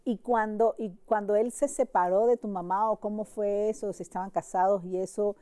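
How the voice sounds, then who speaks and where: A woman's voice: medium pitch, steady tone, normal speed, mellow, smooth. A woman hosting a TV interview, asking friendly, rambling questions. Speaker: TV show host, interviewer. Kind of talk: casual interview conversation.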